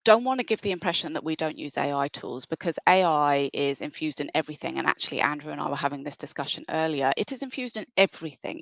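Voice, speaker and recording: A woman's voice: low voice, vocal fry, a bit bright, no room sound. A woman presenting a webinar in an enthusiastic, conversational way. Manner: enthusiastic, conversational, with fillers and restarts.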